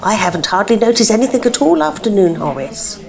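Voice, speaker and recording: An actress playing a resentful, grumbling village poisoner in a comic radio drama. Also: female voice, medium pitch, big pitch swings, brisk, creaky, darkish tone. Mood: evasive. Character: resentful village woman, a cake-fete poisoner.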